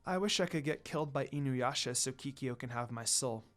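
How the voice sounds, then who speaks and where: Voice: mid-range voice, brisk, a bit bright, pitched below the performer's own voice, lightly acted. A reader voicing a sad, defiant young woman from a fan story in an emotional, dramatic way. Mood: sad, regretful.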